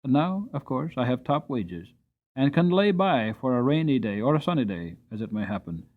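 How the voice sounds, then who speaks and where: Man reading as a good-hearted working coachman, earnest, lively pitch, tinny sound. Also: moderate pace, natural voice. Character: kind, hardworking male coachman. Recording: tinny narrowband recording.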